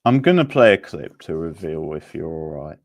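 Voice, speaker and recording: A man's voice: rumbling low, sing-song, moderate pace. A man casually hosting a live quiz, chatting with the players. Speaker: quiz host.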